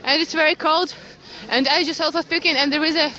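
A young Ukrainian woman with a Ukrainian accent, streaming live from a cold city street in halting English with many restarts, speaking into a phone. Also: sits high, fairly even intonation, bright, forceful tone. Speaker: citizen journalist. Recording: lo-fi phone stream with crowd around. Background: crowd of protesters.

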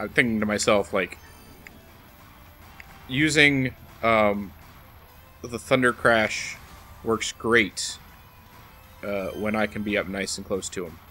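Bright-voiced man doing wry game commentary. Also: on the low side. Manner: wry, self-deprecating, talking to the game's boss. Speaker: let's play host. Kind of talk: casual gameplay commentary. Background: video game music.

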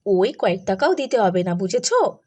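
A woman's voice: medium pitch, very animated, moderate pace, warm tone, breathy edge. A woman voicing a greedy, scheming wife in a cartoon, scolding and demanding. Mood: bossy, greedy.

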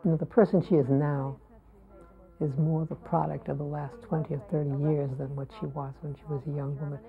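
A woman's voice: sits low, flat delivery, brisk, vocal fry, phone-quality sound. A woman speaking in an interview, giving a considered appraisal of a friend's work. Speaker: fellow potter and friend. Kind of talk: interview commentary.

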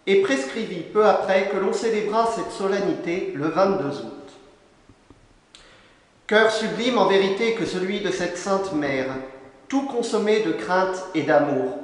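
A young man preaching a formal sermon from a lectern, solemn and measured, phrase by phrase, recorded in a large church. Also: sits high. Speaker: canon preacher. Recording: long echo of a large church.

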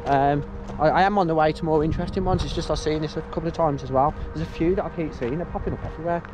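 A man's voice: a little high, steady tone, rapid-fire. Chatty man exploring outdoors. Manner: chatty, thinking aloud, often says "guys". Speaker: urban exploration vlogger. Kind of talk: casual walking commentary. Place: outdoors at an abandoned site. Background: background music.

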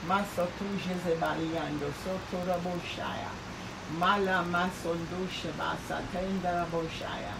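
Raspy woman praying fervently. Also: lowish voice, steady tone, measured pace. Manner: intense, repetitive prayer, breaks off to check audio. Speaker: prophetess leading prayer. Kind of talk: fervent prayer with tongues. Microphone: phone or earpiece mic. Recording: distant mic with clear background noise.

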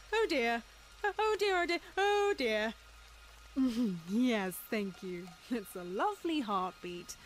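A woman's voice: high voice, exaggerated voice. A young woman with a British accent voicing a flustered, prim Victorian lady in a cartoon fan dub, breathless and stammering. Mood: nervous, chattering. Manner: breathless, stammering, chattering, proper. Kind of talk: animated film fan dub acting.

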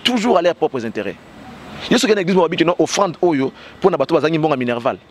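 A man's voice: a little high, big pitch swings, fast. A man in his thirties with a Congolese French accent and a cold, talking passionately and emphatically with many fillers in an outdoor street interview into a handheld mic. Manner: passionate, emphatic, heavy "bah" fillers, gestures while talking. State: has a cold. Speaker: interview guest. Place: outdoors on a street by parked cars. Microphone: handheld mic with fur windscreen held by interviewer.

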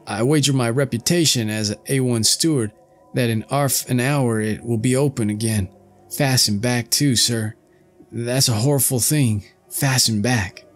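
A man's voice: mid-range voice, a little slow, faint music behind, pitched above the performer's own voice, natural voice. A man voicing a nervous, fawning male ship's steward with a stammering, wheedling manner. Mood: triumphant. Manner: stammering, wheedling, dropped aitches.

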